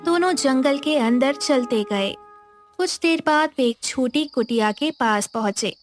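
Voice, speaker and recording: A woman's voice: high-pitched, lively intonation, measured pace, faint music behind. A woman narrating a scripted moral tale as a storyteller.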